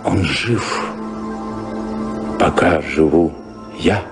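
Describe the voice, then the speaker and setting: An actor playing a melancholy baron in a radio play, a wistful husband musing in halting phrases with long pauses. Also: male voice, extremely low pitch, full character voice. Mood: insistent longing. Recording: music behind the voice.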